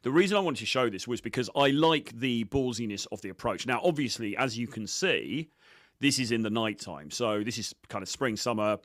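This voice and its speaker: A man's voice: medium pitch, lively intonation, fairly quick, fairly bright tone, dry recording, studio quality. A man giving informal coaching commentary on video clips, blunt, sweary and self-assured. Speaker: dating coach presenter.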